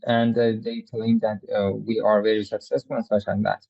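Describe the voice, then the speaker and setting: A man's voice: lowish voice, flat delivery, normal speed, vocal fry, no echo, highs cut off. A man reporting in a news interview, hesitant and stumbling over words.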